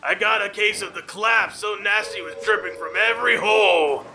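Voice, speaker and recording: An actor playing a crude, jovial older bowling alley owner with a hearty, lewd manner in a noir radio play. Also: male voice, sits high, normal speed, a little hiss or hum, exaggerated voice, poor audio. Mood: leering, boisterous. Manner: hearty, lewd, loud greetings.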